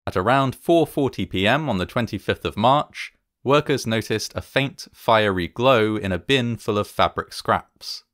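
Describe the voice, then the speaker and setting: A man reading scripted documentary narration in a measured, solemn and formal manner. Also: lowish voice, a bit bright, no echo, clean studio sound.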